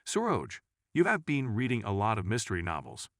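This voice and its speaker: Man voicing an anxious woman, lively intonation. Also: lowish voice, brisk, dry recording, studio quality, exaggerated voice. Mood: nervous.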